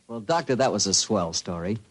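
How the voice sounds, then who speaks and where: A man's voice: fairly low pitch, animated, brisk, over loud music. Chummy American man, 1940s radio announcer. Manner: chummy, folksy, polished.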